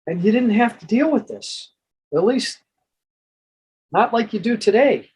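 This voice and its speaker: A man with an American accent and a husky voice, presenting in an informal monologue and reading from a book. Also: high voice, animated, unhurried, a little mellow. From United States. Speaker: presenter and reader.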